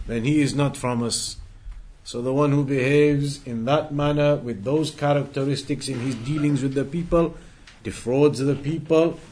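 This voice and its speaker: A man teaching an Islamic studies class, explaining deliberately and repeating key phrases for emphasis as he moves through recited quotes. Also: medium pitch, darkish tone, slightly rough. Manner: didactic, deliberate, emphatic repetition. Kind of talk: religious lesson, explaining and translating recited quotes.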